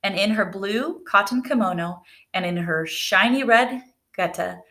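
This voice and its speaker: A woman reading a children's picture book aloud in a gentle, unhurried way. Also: mid-range voice. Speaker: storybook reader. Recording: echoey room.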